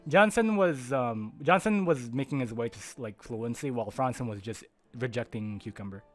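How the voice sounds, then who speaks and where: A teenage boy from the Philippines chats loosely on a podcast, starting sentences over and over and dropping casual swears. His voice sits at a middle pitch, with soft music faintly behind him. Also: normal speed. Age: teens. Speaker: podcast co-host. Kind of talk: casual chat.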